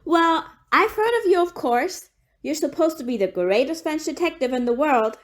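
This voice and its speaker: A woman's voice: high-pitched, expressive, moderate pace. A woman playing a crusty, irritable, defiant mother, blunt and dismissive, in an audio play. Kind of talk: amateur audio play acting.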